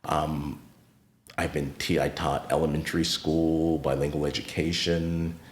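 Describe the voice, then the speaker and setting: A middle-aged man with an American accent speaks in an extremely deep register as a podcast guest. He reflects unhurriedly on his past and gets livelier when he retells run-ins with people. The sound is roomy and echoey. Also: flat delivery, unhurried, a little hiss or hum. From United States. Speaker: podcast guest, retired teacher. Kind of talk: casual interview storytelling.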